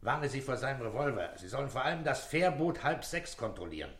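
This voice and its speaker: A man playing a calm, probing police inspector in a radio drama, questioning in a terse, businesslike way. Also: medium pitch, a little fast, lightly acted. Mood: commanding. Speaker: actor in a detective radio play. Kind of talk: German radio drama acting.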